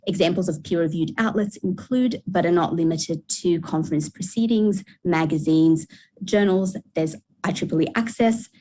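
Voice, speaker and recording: A woman's voice: lowish voice, normal speed, warm tone, slight background noise, low-quality recording. A woman speaking as a journal co-editor on a conference panel, delivering formal, prepared remarks. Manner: formal, reads from prepared text.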